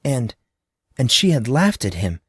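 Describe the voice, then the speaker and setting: A man narrating a fantasy audiobook with expressive, lively storytelling. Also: medium pitch, measured pace, breathy edge, no echo, studio quality.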